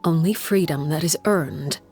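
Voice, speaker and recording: An actress voicing a stern, composed female leader in a video game, speaking in a measured, formal, authoritative way. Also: deep voice, darkish tone, faint music behind. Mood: cool, solemn. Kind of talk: scripted video game voice acting.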